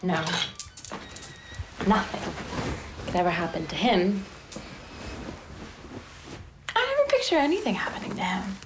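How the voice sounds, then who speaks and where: A woman's voice: medium pitch, huge pitch swings, moderate pace, noisy background. Woman playing an anxious young woman pleading. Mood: bitter brooding. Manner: pleading, swings between whispers and outbursts. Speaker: actress performing a monologue. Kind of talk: dramatic monologue.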